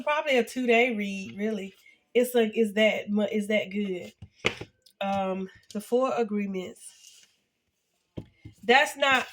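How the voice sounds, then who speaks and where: Congested woman in her fifties. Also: mid-range voice. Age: 50s. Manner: halting, many fillers and restarts. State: congested, sniffling, nasal. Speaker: vlogger. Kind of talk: casual reflective talk to camera.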